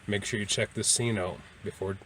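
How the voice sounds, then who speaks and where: A man's voice: lowish voice, steady tone, moderate pace, a bit bright, a little hiss or hum. A man talking casually as a travel vlogger, in a rambling, hesitant way. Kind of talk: casual vlog talk.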